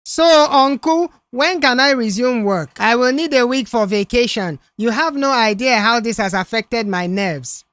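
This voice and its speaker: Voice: mid-range voice, a little slow, few pauses, a bit bright, no echo, voice pushed up, close to an everyday voice. A narrator voicing a cheeky, entitled young male worker in an audio story, whiny and self-important. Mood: smug.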